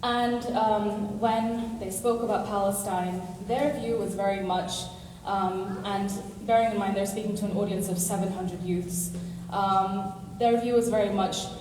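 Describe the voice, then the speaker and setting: A woman's voice: mid-range voice, steady tone, measured pace, darkish tone. Woman asking a question from far off. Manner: earnest, lots of "um", long rambling question. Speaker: audience member asking a question. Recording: distant echoey hall mic, noisy.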